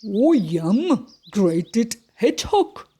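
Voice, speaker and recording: A big, grumpy monster from a children's storybook, as a woman reader puts him on, growling along very slowly in a dark, mellow tone. He is always hungry and rather gullible, and he stretches out his words. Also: mid-range voice, big pitch swings, slightly rough, full character voice. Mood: greedy, eager. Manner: slow, drawn-out, growling delivery. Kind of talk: picture-book character voice.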